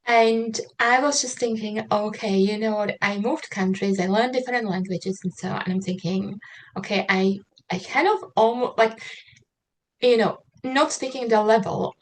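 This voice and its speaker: A woman from Slovakia with a Slovak accent tells her personal story as an interview guest, haltingly, searching for words and repeating herself. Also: mid-range voice, rough recording. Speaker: interview guest, writer and mentor. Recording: noticeable background noise.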